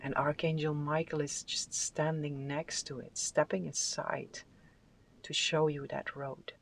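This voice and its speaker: Slow soft-spoken tarot reader, calm and soothing, goes whispery sometimes, faint hiss behind. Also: mid-range voice, vocal fry. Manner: soft, soothing, unhurried delivery with drawn-out phrases. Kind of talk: spiritual tarot reading with affirmations.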